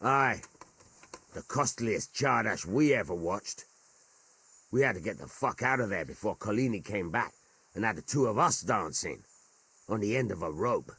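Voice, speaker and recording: A rustic soldier of fortune in a game drama. The voice is raspy and on the low side, swinging expressively through colloquial, earthy speech. He sounds like a plain-spoken veteran. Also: lively intonation, moderate pace, long pauses, a bit bright, no echo, exaggerated voice. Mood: amused. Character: rough, jovial, plain-spoken veteran mercenary. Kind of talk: dramatic video game cutscene dialogue.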